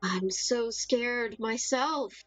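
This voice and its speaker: A woman voicing a gentle, confused ghost who speaks in a slow, halting, polite and timid way. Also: fairly high pitch, dry recording, faint music behind, low-quality recording. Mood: frightened, bewildered.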